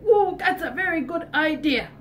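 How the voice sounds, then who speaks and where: A woman voicing a sly, greedy big bad wolf in a storybook tale, lowering and stretching her voice. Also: expressive, normal speed, a bit bright, faint music behind. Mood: scheming.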